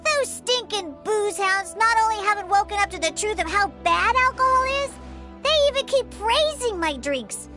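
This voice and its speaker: A woman's voice: very high voice, lively intonation, moderate pace, music in the background. A woman voicing a feisty little cat-girl bartender in a video game, with an emphatic, grumbling, childlike delivery. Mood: indignant. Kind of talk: video game character acting.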